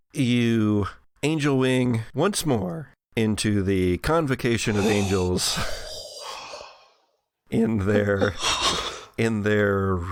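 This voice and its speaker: A man narrating an improvised tabletop horror game as game master, with deliberate, hesitant phrasing. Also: fairly low pitch, no echo.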